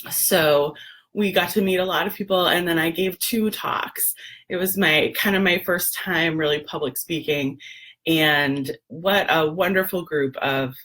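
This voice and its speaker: Hoarse gravelly-voiced woman, low-pitched. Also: measured pace, a bit bright. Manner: chatty, frequent ums and laughs, throat clearing. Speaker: doula and lactation educator, livestream host. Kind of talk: casual live video chat.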